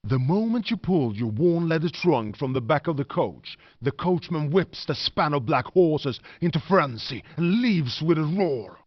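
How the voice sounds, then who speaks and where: An actor playing an ominous, theatrical storyteller who narrates a gothic horror video game with solemn scripted drama. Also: male voice, fairly high pitch, animated, measured pace, few pauses, no echo. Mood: grave, ominous. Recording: dull, dry, lo-fi game audio.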